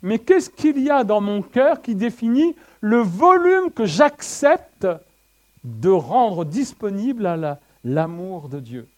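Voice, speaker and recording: Lively high-voiced male preacher. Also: takes it slowly. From France (Le Havre). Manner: lively, conversational, jokes with congregation, swings soft and loud. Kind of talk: sermon with slides.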